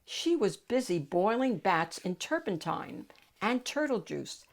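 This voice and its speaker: A woman reads a picture book aloud as host for children. Her narration is expressive and slowly paced, in a mid-range voice. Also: medium pitch, creaky.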